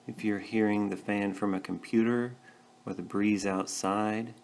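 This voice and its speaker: A man leading a guided meditation, speaking gently and in a measured way with pauses between short phrases. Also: on the low side, steady tone, gravelly. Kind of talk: guided meditation, calm instruction.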